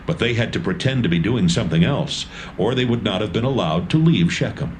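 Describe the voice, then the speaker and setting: A man narrating a dramatised Bible reading in a steady, measured way. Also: lowish voice, a little hiss or hum. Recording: narration over music.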